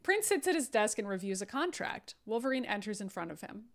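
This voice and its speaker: A woman's voice: medium pitch, very animated, brisk. A woman hosting a live table read, narrating stage directions dramatically and dropping in wry, playful asides. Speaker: podcast host reading stage directions.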